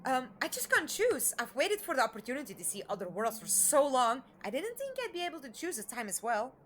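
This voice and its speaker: An eager young alien traveller, played by a woman, curious and enthusiastic, with a fairly high, animated voice. The audio drama recording has faint noise. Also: a little fast. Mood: excited. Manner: wide-eyed, enthusiastic.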